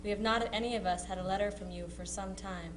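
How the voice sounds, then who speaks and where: Through clear hum and noise comes a woman playing a Civil War era sister reading her letter. She is chatty and teasing toward her soldier brother, in an ordinary middle-pitched voice. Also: fairly even intonation, fast, close to an everyday voice. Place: live historical society presentation. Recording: noisy live recording with hum.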